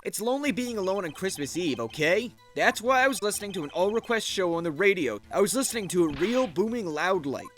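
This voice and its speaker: A man's voice: sits high, big pitch swings, fast, hardly any pauses, fairly bright tone, soft background music. A man voicing a brash, defensive young slacker witness in a video game fandub, whiny and slangy. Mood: whiny, earnest.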